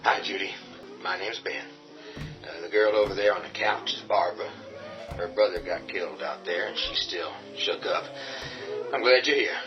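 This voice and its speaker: An actor playing a calm, firm man who takes charge of the survivors in a radio drama. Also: deep voice, moderate pace, natural voice. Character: steady male leader of the survivors. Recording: dull lo-fi audio with music.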